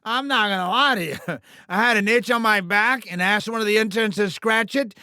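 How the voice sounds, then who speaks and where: An actor playing a sleazy, bloated alien TV producer in a video game, with smarmy, pompous, wheedling showbiz patter. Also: male voice, sits high, pushed and bright, no echo, studio quality, full character voice. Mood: chatty, evasive. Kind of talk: theatrical video game character acting.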